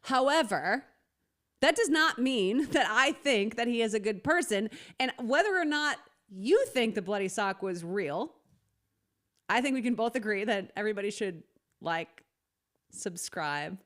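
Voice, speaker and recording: A high-voiced young woman trading casual banter as a podcast co-host. She talks emphatically and swears freely, breaking into quick laughs. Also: expressive, a bit bright. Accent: General American, Boston accent trained away. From Boston area. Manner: profane, emphatic, laughs often.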